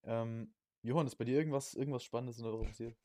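A young man co-hosting a podcast in casual chat, using youth slang and often halting to search for words. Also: mid-range voice, steady tone, a little mellow, no echo, clean studio sound. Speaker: podcast co-host.

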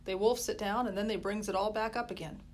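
A woman's voice: mid-range voice, steady tone, quick pace, a little mellow, slight background noise, lightly acted. A woman doing a cheeky street boy. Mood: easygoing. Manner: slangy, easygoing.

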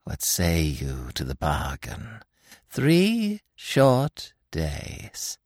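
Gloating monster dwarf, wildly swooping, very slow and drawn-out, archaic gothic villain. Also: male voice, low-pitched, huge pitch swings, darkish tone, no echo, clean studio sound, exaggerated voice. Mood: wheedling. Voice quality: screeching, gravelly. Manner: theatrical, drawn-out archaic speech. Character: gloating, mocking demonic dwarf monster, male.

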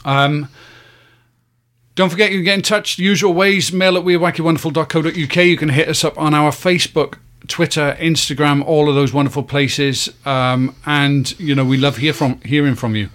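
A man's voice: fairly high pitch, steady tone, normal speed, a bit bright. A man hosting a podcast, chatting casually with lots of ums, thanking listeners and joking. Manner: rambling, many ums, jokey and thankful. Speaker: podcast host. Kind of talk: casual chat.

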